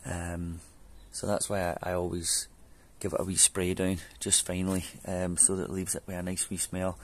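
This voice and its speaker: A man's voice: deep voice, completely flat delivery, normal speed. A man, chatting loosely with many ums through a how-to vlog in his back garden, picked up by a camera mic. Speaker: home DIY vlogger. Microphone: camera mic on a fixed camera.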